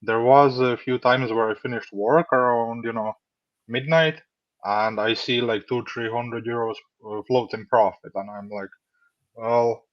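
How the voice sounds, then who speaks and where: A man's voice: medium pitch, measured pace, no echo. Man in his thirties from Latvia, casual rambling answers, dry dull recording. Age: 30s. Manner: relaxed, rambling, many fillers like "you know". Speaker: funded trader, guest.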